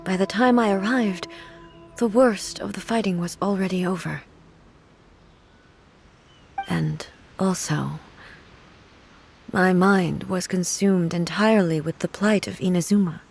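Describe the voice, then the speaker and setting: An actress playing a solemn, regal goddess mourning her sister in a video game, speaking in a soft, hushed, measured way. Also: fairly low pitch, creaky, soft background music. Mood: sorrowful.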